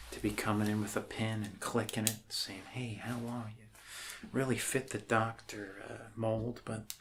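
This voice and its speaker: A man doing an improvised, soft-spoken ASMR roleplay as an awkward, reassuring doctor, rambling hesitantly and apologetically. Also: lowish voice. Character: awkward, unconventional, reassuring doctor welcoming an alien. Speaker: ASMR performer playing a doctor. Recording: clear background noise.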